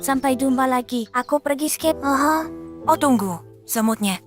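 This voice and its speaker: A high, youthful cartoon voice acted by a woman over clearly audible music. The character is small, excitable and earnest, speaking in expressive exclamations as in a children's animated show. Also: normal speed, close to an everyday voice. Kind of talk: children's cartoon dub acting.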